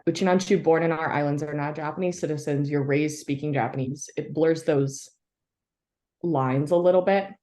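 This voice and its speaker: A woman from the United States, a historian, answering interview questions in a thoughtful, halting way with many fillers and soft laughs. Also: low voice, fairly even intonation.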